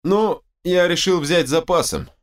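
A man's voice: fairly low pitch, sing-song, moderate pace. A man voicing a cocky, defiant adventurer hero with offhand banter in a fantasy audiobook. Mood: defensive.

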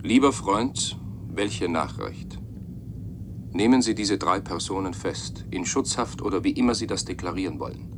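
A very low talking machine with a man's voice recites letters in a radio play, punctuation and all. Clear noise runs through the recording. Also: expressive, normal speed. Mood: reciting, urgent. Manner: speaks the punctuation, recites dictated text. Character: talking machine reading letters aloud, male voice. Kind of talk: German radio play acting.

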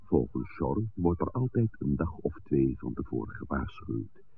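An actor playing a courteous, earnest village priest in a 1960s radio drama, speaking in formal, slightly flowery sentences. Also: male voice, very deep voice, sing-song, unhurried, a little hiss or hum, exaggerated voice. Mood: earnest, calm. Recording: muffled old radio recording.

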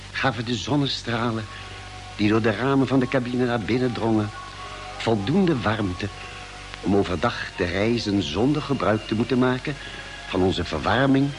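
Actor as expressive radio-drama narrator over music. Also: male voice, mid-range voice, close to an everyday voice. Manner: measured, literary, dramatic phrasing. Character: male expedition member solemnly narrating the space journey.

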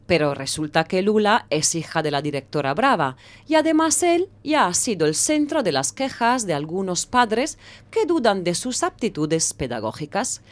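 A woman narrating a scripted story as the narrator of an audio drama. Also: mid-range voice.

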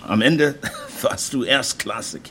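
A man's voice: medium pitch, slow pace, a bit bright, slight background noise. An actor playing an assured, indulgent businessman, coaxing and persuasive, in a naturalistic radio drama. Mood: amused. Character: assured, indulgent American businessman courting a woman.

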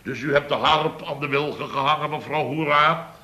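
A man's voice: a little high, steady tone, normal speed, bright, forceful tone, a little hiss or hum. An actor playing a blustering, exasperated boss of a building firm in a radio comedy, exclaiming and repeating himself as he tells his tales. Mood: indignant storytelling. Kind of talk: Dutch radio comedy acting, anecdote telling.

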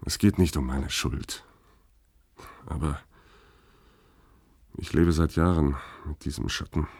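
A deep-voiced grieving spaceman. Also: slow pace, a little mellow, slightly rough, no room sound, studio quality, full character voice. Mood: sorrowful, subdued. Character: grieving haunted male spaceship crewman, clinging to memory. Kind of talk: intimate radio play dialogue.

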